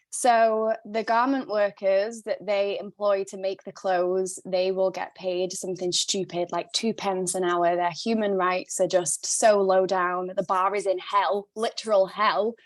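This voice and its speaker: Passionate woman guest, faint Glaswegian tinge, blunt and sweary, mid-pitched, dry close mic. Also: fairly even intonation, unhurried, no room sound. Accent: non-native Glaswegian mix. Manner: passionate, blunt, swears freely, laughs often. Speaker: guest, sustainable stylist. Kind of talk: casual podcast interview. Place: not originally from Glasgow, now lives there.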